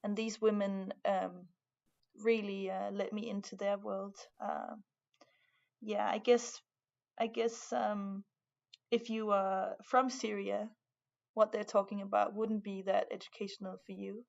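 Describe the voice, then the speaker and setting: Hesitant young Danish woman, gravelly. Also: mid-range voice, level tone, unhurried, darkish tone, muffled recording. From Denmark. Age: young adult. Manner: hesitant, many fillers and restarts. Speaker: art student, guest. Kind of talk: casual conversation.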